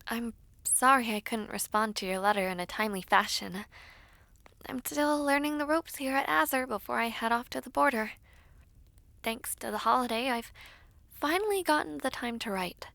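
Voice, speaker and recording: A woman playing a devoted young female knight in an audio roleplay, reading letters in a tender, wistful, sometimes breathy manner. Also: on the high side, moderate pace, pushed and bright, no echo. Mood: warm, hopeful.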